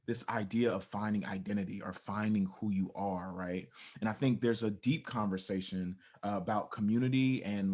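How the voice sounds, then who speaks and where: A man answering earnestly and casually in an interview, conversational and checking in as he reasons aloud. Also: fairly low pitch.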